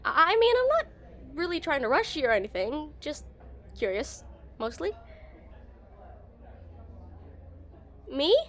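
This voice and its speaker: A woman's voice: sits high, sing-song, normal speed. A woman playing an awkward, shy female game developer on a dinner date in a romantic audio roleplay, flustered and hesitant. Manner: flustered, hesitant, stammering, giggly.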